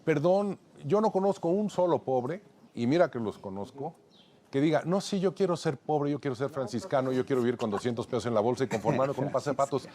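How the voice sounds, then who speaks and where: A man's voice: medium pitch, brisk, mellow. A Mexican man commenting on politics on a panel, building his case in rhythmic repeated lists. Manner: lists points in rhythmic repetitions.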